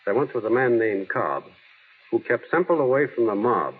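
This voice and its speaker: A man's voice: medium pitch, big pitch swings, moderate pace, breathy edge. An actor playing a naive, earnest small-town man in an old-time radio drama, folksy and artless. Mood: mild, earnest. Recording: tinny, noisy vintage radio broadcast.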